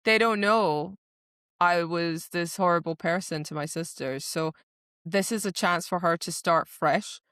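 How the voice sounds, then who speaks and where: Female book podcast co-host, lower-pitched, casual and gushing, expressive with quoted dialogue, dry recording. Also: unhurried, clear, resonant tone, dry recording. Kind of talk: casual book discussion chat.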